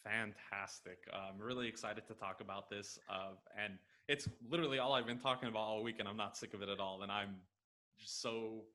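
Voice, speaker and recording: A man's voice: fairly low pitch, steady tone, bright, forceful tone. A man in his thirties with an American accent from Los Angeles, a guest on a football podcast, answering eagerly with many fillers. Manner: enthusiastic, quick, with many fillers. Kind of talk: casual interview answers. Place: home room, video call. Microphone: headset.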